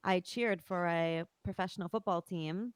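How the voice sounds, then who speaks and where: A woman from Canada chats as a singer guest in a casual interview. Her voice is very smooth and clear, slightly low for a woman, recorded dry. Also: moderate pace, no room sound.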